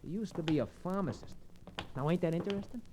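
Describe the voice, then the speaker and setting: Actor as fast-talking wisecracking American sidekick. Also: male voice, high voice, a little mellow, lightly acted. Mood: knowing. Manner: colloquial, chatty. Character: folksy, wisecracking male detective's sidekick, loyal and lively. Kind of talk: 1940s-50s radio detective acting. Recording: noisy, crackly vintage radio recording.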